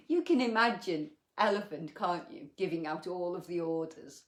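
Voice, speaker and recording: A woman reading a bedtime picture book to children, with gentle, chatty asides and questions to her young listeners. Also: on the low side, unhurried, reverberant.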